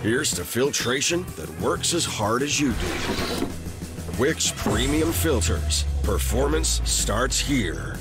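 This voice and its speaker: A man's voice: mid-range voice, sing-song, slow, loud music behind the voice. A man narrating a scripted commercial as an announcer.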